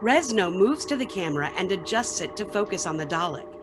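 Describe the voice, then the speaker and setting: A woman's voice: medium pitch, very animated, a little fast. A woman reading scripted narration and stage directions for a radio play.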